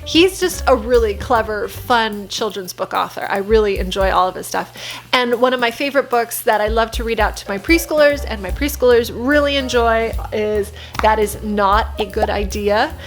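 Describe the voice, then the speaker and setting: A woman's voice: on the high side, normal speed, faint music behind. A woman chatting casually and expressively, recommending picture books like an enthusiastic preschool teacher.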